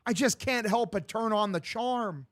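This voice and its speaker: A young man in his twenties with a distinctly high voice for a male. He streams baseball commentary mixed with casual chat, in loose, slangy language with plenty of swearing and a bro-like way of addressing people. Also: unhurried, fairly bright tone. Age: 20s. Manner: profane, slangy, bro-like talk. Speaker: sports streamer calling a baseball game and answering chat.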